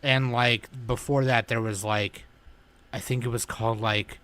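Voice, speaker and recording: An animated male podcast guest telling rambling stories and breaking into impressions of people he knows. His pitch is mid-range for a man, and he talks in a casual, loose way. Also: measured pace. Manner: animated storyteller who slips into impressions.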